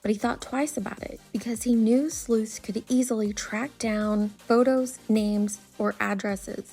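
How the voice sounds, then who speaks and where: Woman commentator with a creaky, mellow voice. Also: on the high side, fairly even intonation, measured pace, rough recording. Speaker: true crime YouTube commentator. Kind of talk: scripted commentary, reading and summarising an article.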